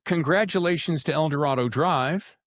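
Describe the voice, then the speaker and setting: A man's voice: on the high side, moderate pace, fairly bright tone. A middle-aged American man hosting a podcast, with a playful, theatrical way of talking. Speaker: podcast host. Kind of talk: show introduction and interview chat.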